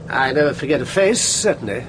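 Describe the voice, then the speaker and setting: An actor playing a brisk, blunt army major in a radio drama, with an offhand, clipped manner. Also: male voice, mid-range voice, lively intonation, close to an everyday voice. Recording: noisy vehicle ambience behind dialogue.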